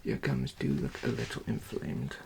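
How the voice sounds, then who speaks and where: A person whispering slowly and soothingly in a breathy, creaky voice as a dentist instructing a patient in an ASMR roleplay. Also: extremely low pitch, expressive, noisy background. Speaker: ASMR creator playing a dentist. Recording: lo-fi close-up ASMR recording.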